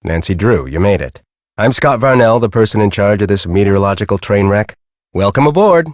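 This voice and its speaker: Man playing a sarcastic, deep-voiced storm team boss. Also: lively intonation, a little fast, no room sound, studio quality, close to an everyday voice. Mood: dry welcome. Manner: sarcastic, impatient. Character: gruff, cynical, bossy male storm-chasing team leader. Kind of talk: video game voice acting.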